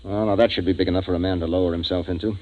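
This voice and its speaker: An actor playing a gruff, decisive spaceship captain in a 1950s radio drama, narrating and giving orders. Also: male voice, sits low, steady tone, racing, very noisy, natural voice. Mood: determined. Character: gruff, decisive male spaceship captain, troubled but in command. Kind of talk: 1950s radio acting with first-person narration. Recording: dull 1950s radio recording, music behind.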